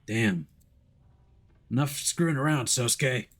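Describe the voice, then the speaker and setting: Casting: male reader as gruff, defiant detective, blunt and urgent, game story delivery. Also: medium pitch, slow pace. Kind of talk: dramatic visual novel read-aloud.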